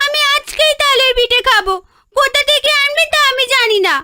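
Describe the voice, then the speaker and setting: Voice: extremely high pitch, a little fast, sharp tone, heavily acted. A performer voicing a stubborn, eager little village girl in a cartoon, whiny and insistent. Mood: pleading, insistent. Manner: pleading, insistent, childlike. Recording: noisy track with clicks.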